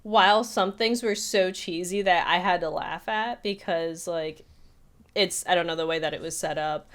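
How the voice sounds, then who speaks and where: A woman's voice: fairly low pitch, normal speed, a bit bright. A woman co-hosting a podcast, chatting casually about film in a rambling way with lots of "like". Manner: rambling, peppers talk with "like". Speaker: podcast co-host. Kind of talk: casual film discussion chat.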